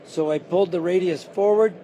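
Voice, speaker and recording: A car builder who is losing his voice, calmly giving a detailed walkaround of a custom car on a show floor. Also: high voice, a little fast, noisy background. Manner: detailed, matter-of-fact.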